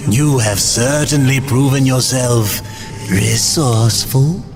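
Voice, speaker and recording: An actor playing an oily, scheming demon merchant in a video game, wheedling and theatrical with mock politeness. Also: male voice, medium pitch, slow, music in the background. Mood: sly, wheedling. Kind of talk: video game cutscene acting.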